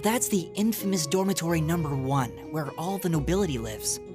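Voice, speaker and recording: A man's voice: fairly high pitch, animated, fairly quick, music in the background, close to an everyday voice. A man voicing a friendly, earnest teenage student boy in a video game, casual and chatty.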